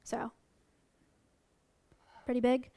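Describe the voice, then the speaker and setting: A woman in her twenties chatting casually on a livestream, answering questions about sanctuary animals with lots of ums and restarts, while her voice is going hoarse. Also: a little high, completely flat delivery, very slow, dark tone, dry recording. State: losing her voice.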